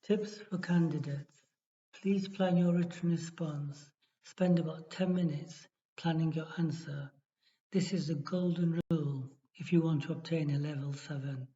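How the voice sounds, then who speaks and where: Male exam tutor, measured read-aloud delivery, warm mellow tone, dull recording. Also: a little high. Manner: steady, measured reading with small restarts. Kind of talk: reading a model essay aloud.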